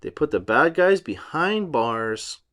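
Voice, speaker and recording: A man reading a storybook aloud for children in an animated style. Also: fairly high pitch, slow.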